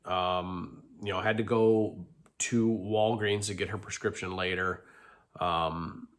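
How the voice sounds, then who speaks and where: Man, congested and stuffy, deep low voice, halting and rambling, vlog confession. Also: fairly even intonation. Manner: rambling, many ums and restarts. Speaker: vlogger. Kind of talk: candid confessional monologue.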